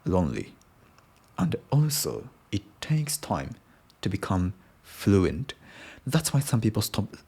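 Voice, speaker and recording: A Japanese man records a solo daily podcast in English, his accent Japanese over a British base. His voice is low and husky, and it rises and falls a lot. He talks slowly and haltingly, often restarting and repeating his phrases. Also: darkish tone. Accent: Japanese-accented English aiming at British.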